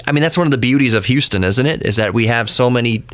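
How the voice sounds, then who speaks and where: American guy from texas hosting a chatty radio show, dry close sound, rambles a bit, medium pitch. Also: fast, a bit bright, dry recording. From Texas, United States. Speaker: radio show and podcast host. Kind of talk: casual radio talk.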